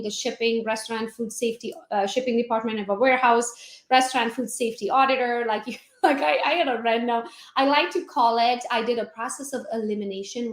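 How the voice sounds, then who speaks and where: A woman from Transylvania with a Hungarian accent, telling her life story as an interview guest in a lively, laughing way. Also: on the high side, reverberant. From Transylvania, Romania. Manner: animated, laughs often, acts out quotes. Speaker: guest, real estate agent.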